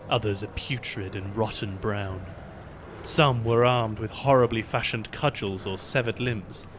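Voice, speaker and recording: A man's voice: on the low side, background noise. Man narrating a grim fantasy battle. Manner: measured storytelling. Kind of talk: dramatic scripted narration.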